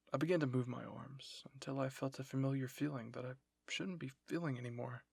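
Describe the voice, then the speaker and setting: Young man, husky creaky voice, dramatic story narration, close mic, dry sound. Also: medium pitch, no room sound. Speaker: fan fiction reader and channel host. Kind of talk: dramatic story narration, ASMR roleplay reading.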